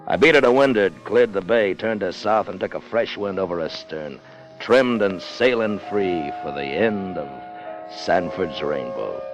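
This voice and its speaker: An actor playing a ruthless, brazen sailor in a 1950s radio drama, with a cool, insolent, clipped manner. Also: male voice, sits low, unhurried. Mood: grim relish. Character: ruthless, brazen murderous sailor turned first mate. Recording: muffled 1950 radio broadcast with music.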